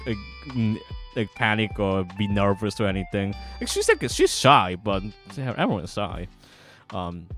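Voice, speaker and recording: A man giving casual livestream commentary as a game developer, halting and repeating himself excitably. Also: medium pitch. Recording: music behind the voice.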